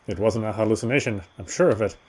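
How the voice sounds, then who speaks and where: A man's voice: on the low side, quick pace, darkish tone, slightly rough. A man reading a horror visual novel aloud as a dramatic first-person narrator.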